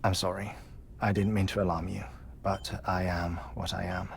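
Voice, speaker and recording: An actor playing an eccentric middle-aged scientist in a video game, grieving yet courteous and wry, speaking in measured, precise phrases. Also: male voice, low-pitched, little pitch movement, creaky, slight background noise. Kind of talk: cinematic video game acting.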